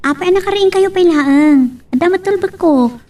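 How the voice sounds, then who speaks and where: A high, coy young sweetheart with an Ilocano accent played by a woman, modest and gently teasing, in the manner of a radio love story. She sounds a little away from the mic. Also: moderate pace, far from the mic. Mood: caring, dutiful. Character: modest working daughter from a poor family, gently teasing. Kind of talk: Ilocano radio-style romantic drama acting.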